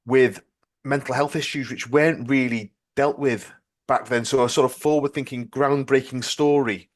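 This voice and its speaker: A man interviewing a guest on a podcast as a casual fan, informal and a little halting. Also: medium pitch, fairly even intonation.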